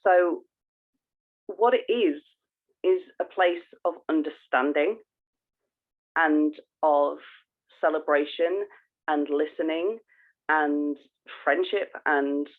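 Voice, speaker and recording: A woman co-hosting a podcast, chatting informally and explaining things with halting emphasis. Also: deep voice. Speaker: podcast co-host. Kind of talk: casual podcast chat. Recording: thin phone-like call audio.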